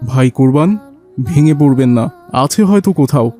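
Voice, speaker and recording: A man's voice: mid-range voice, normal speed, dark tone, faint music behind, lightly acted. A man voicing a talkative adult neighbour in a children's cartoon. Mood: concerned, helpful. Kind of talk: animated cartoon dialogue.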